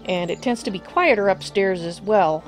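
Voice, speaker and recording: A woman streaming a video game live, slightly hoarse from a cold, chatting with viewers and thinking aloud as she plays. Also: mid-range voice, moderate pace, faint music behind. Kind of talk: casual live gameplay commentary.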